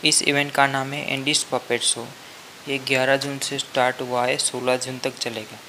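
A man's voice: medium pitch, little pitch movement, moderate pace, background noise. A man with an Indian accent hosting a gaming channel, giving commentary over game footage. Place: voice-over on game screen capture. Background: background music.

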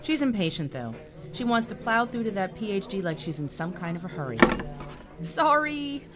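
A woman's voice: mid-range voice, sing-song, normal speed, over music, close to an everyday voice. A woman playing a passionate, caring professor in a naturalistic audio drama. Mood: earnest, concerned.

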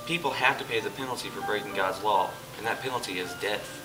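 A man's voice: lowish voice, fast, close to an everyday voice. A man playing Jesus in an amateur church stage play, explaining earnestly and patiently to his dinner guest. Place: church hall stage, indoors. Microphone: far camera. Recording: distant camera with music behind.

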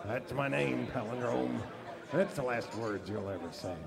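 An actor playing a menacing male outlaw who runs the town, with a gravelly drawl. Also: medium pitch, a little slow, roomy sound, big-room sound, a crowd in the background. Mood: threatening. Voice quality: gravelly, drawling tough-guy voice. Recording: radio show with live audience.

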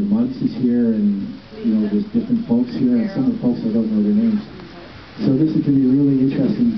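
A man's voice: mid-range voice, a little hiss or hum. A middle-aged man giving a casual, rambling welcome outdoors on a city street, speaking into a handheld microphone. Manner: relaxed, rambling, many ums and restarts. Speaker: festival producer welcoming a walking tour. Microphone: handheld microphone heard from a distance. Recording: roomy, distant, dull phone-camera sound.

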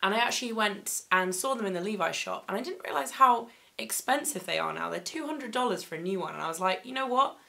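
A young woman recovering from laryngitis, her voice still slightly croaky, chatting enthusiastically through a clothing haul in her bedroom, with plenty of ums and likes. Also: mid-range voice, expressive, quick pace, sharp tone. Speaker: fashion vlogger. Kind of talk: casual haul vlog. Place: bedroom, indoors.

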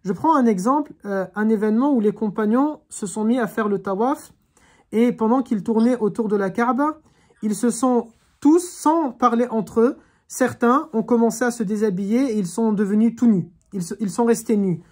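A woman's voice: on the high side, normal speed, a little mellow. A woman teaching an online religious class over a video call, speaking in an earnest, reflective way and urging her listeners on. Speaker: Quran teacher.

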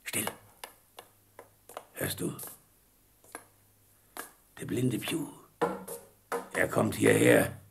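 An actor playing a gruff, hot-tempered old pirate captain with a gravelly, husky voice in an audio drama. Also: male voice, lowish voice, huge pitch swings, very slow, pauses often, background noise, heavily acted. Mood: conspiratorial, weary. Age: older. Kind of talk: German audio drama acting.

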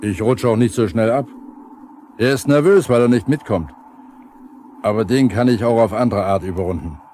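A man's voice: fairly low pitch, normal speed, dark tone, close to an everyday voice. An actor playing a proud, easily offended veteran foreman, grumbling and blustering in colloquial speech in a 1970s radio drama. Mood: indignant, grumbling. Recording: faintly noisy 1970s vinyl radio play.

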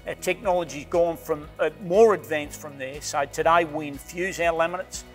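Enthusiastic Australian boatbuilder, higher voice. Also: male voice, steady tone, moderate pace. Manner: enthusiastic, rambling with restarts and "you know". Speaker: boatbuilding company owner. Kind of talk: interview answers. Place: boat factory workshop. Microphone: lapel mic. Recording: faint background music.

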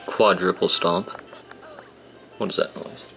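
Low creaky young guy doing a let's play, kinda annoyed, voice a bit rough. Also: fairly even intonation, slow pace, sharp tone. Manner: grumbling, sarcastic about the game. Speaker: gameplay commentator. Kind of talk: casual let's-play commentary. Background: game music.

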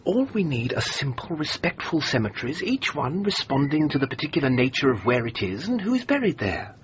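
An actor in a radio drama playing a practical, diplomatic major general who is courteous, measured and authoritative. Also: male voice, fairly high pitch, big pitch swings. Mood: firm, reasonable. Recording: muffled radio recording with background noise.

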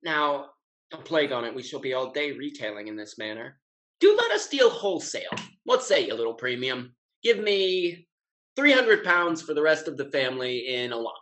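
An actor playing a carefree, impulsive young gentleman in a period comedy, with exuberant, declamatory delivery over a video call. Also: medium pitch, very animated, moderate pace. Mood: jovial, brisk. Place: indoors at home, video call.

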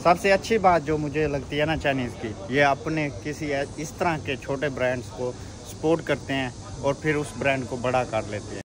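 A man's voice: mid-range voice, normal speed, few pauses. A man chatting casually and joking with his friend and viewers while vlogging outdoors in a city. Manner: joking, chatty, addresses viewers as guys and bro. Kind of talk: casual travel vlog chat. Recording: noisy street ambience.

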